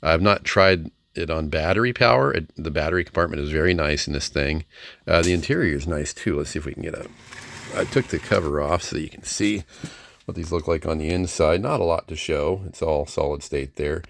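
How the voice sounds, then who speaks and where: A man's voice: very deep voice, a little fast, creaky, fairly bright tone, no echo. A middle-aged man giving a casual, unscripted demonstration of equipment in a folksy, hesitant, plain-spoken way. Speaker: ham radio hobbyist presenting a receiver.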